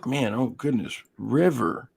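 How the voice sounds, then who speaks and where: A hoarse man hosting a casual live stream, chatty and greeting viewers by name. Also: mid-range voice, takes it slowly, darkish tone. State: hoarse, losing his voice.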